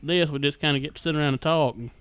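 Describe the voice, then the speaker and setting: A man's voice: fairly high pitch, rapid-fire, a bit bright, clear, resonant tone. A man with a Southern US accent chatting casually as a podcast host, telling things in a folksy, rambling way. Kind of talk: casual chat.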